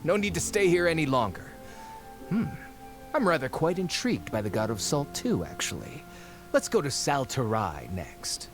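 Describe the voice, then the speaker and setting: A man's voice: a little high, sing-song, normal speed. An actor playing a shifty, greedy archaeology researcher in a video game, wheedling and theatrical as he justifies himself. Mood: defensive. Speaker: game character. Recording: game music under the voice.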